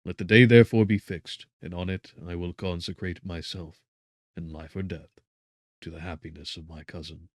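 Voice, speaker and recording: A man voicing a tormented, guilt-ridden young gentleman in an audiobook, earnest, devoted and gravely impassioned. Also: low voice, steady tone, moderate pace, no room sound, clean studio sound. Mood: fervent resolve.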